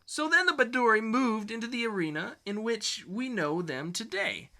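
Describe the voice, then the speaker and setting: A man's voice: high voice, animated, normal speed, bright, forceful tone, heavily acted. A man voicing a whiny, grandiose dictator villain in a melodramatic, pompous comic-book reading. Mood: ranting, gloating.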